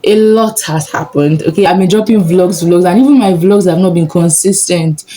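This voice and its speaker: A woman's voice: fairly low pitch, moderate pace, warm tone. A young Nigerian woman with a Nigerian accent, chatting casually and upbeat in a sit-down vlog. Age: young adult. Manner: chatty, upbeat, laughs often. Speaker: vlogger, medical student.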